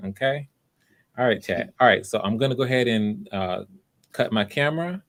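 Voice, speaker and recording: A man's voice: mid-range voice, lively intonation, moderate pace, fairly bright tone. A man hosting live auditions over a video call, talking casually and encouragingly, often repeating and rephrasing himself. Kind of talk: casual unscripted hosting talk.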